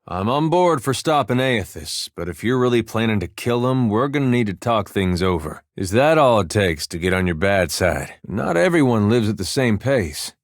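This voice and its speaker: An actor playing an easygoing, wry farmer turned war veteran adventurer in a video game, with a folksy, drawling, dryly humorous delivery. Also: male voice, fairly low pitch, no room sound, clean studio sound, lightly acted. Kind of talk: video game character dialogue.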